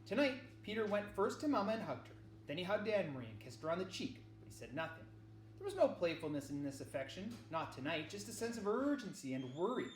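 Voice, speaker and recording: A man reading a children's novel aloud as narrator, with measured, dramatic phrasing. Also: sits high, very animated, slight background noise. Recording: echoey, roomy sound.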